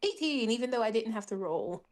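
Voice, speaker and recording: A woman's voice: on the high side, animated, fairly quick. A woman playing a tabletop game, chatting casually and jokingly.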